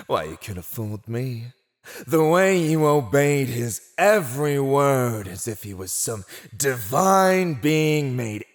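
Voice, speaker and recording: Smug evil villain for asmr rp, slow teasing drawl, super animated, mocking and gloating. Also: male voice, on the low side. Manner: teasing, condescending, theatrical drawl. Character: silver-tongued male fantasy villain, gloating, mocking captor. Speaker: voice actor. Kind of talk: ASMR roleplay, scripted villain monologue.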